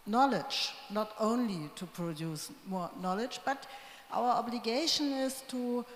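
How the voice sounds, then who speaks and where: A woman answering on a conference panel, slow and deliberate, pausing between phrases. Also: on the high side. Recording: echoey conference hall.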